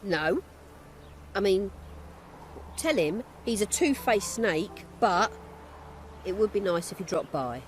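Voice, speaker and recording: An actress playing a hurt, sharp-tongued jilted woman in a fantasy video game. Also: mid-range voice, animated, takes it slowly, pauses often, noisy background. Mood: hurt, softening. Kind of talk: video game dialogue acting.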